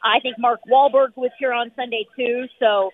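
Hoarse woman on a phone line. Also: a little high, fairly even intonation. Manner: upbeat, rapid, many fillers. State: losing her voice. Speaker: sports reporter guest. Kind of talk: phone-in sports radio chat. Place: noisy arena.

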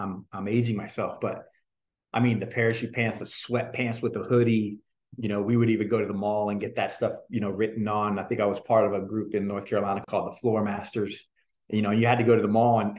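A man in his forties from New Jersey, telling stories from his life in a relaxed, rambling interview way with many fillers. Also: lowish voice. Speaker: guest, singer and dancer. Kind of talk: casual interview storytelling. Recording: roomy echo.

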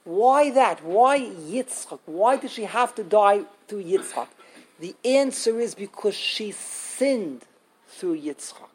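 A woman teaching a Torah class to a small group, lively and animated, switching often into Hebrew terms. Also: mid-range voice, slow, a little hiss or hum. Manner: animated, acts out quotes, mixes in Hebrew terms.

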